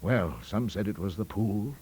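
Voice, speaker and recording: A man's voice: on the low side, normal speed, darkish tone, slight background noise, natural voice. A man voicing a fussy, delicate college master in a ghost story, with a hesitant, complacent, rambling manner. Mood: confiding, hesitant.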